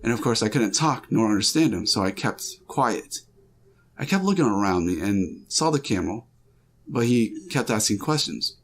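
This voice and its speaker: A man reading a listener's dream aloud as a first-person story, with small slips and restarts. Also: fairly low pitch.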